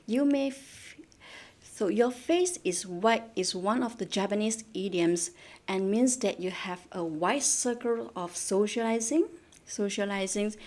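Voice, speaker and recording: A woman from Malaysia teaches a live online Japanese class, speaking into a computer mic. She repeats key words and poses questions to her viewers, moving between English and Japanese. Her voice sits at a middle pitch for a woman. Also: a little mellow.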